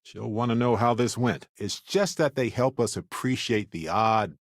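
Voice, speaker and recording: A man playing a genial, wry, curious middle-aged space explorer in a video game, speaking in a conversational, expressive way. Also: medium pitch, moderate pace, no echo, studio quality, lightly acted. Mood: reflective, musing. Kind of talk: video game character dialogue.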